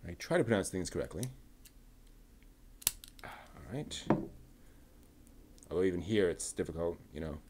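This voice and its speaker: A man talking himself through assembling a model kit, muttering and pausing between steps. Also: low-pitched, expressive, darkish tone, slight background noise. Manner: slow, halting, with many pauses. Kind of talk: casual build narration.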